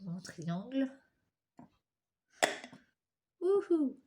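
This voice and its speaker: A woman's voice: sits high, very animated, slow, heavy background noise. Young woman with a head cold, congested nose, talking viewers through a craft tutorial in a chatty, apologetic way. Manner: chatty, apologetic, talks while working with her hands. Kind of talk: casual step-by-step craft tutorial.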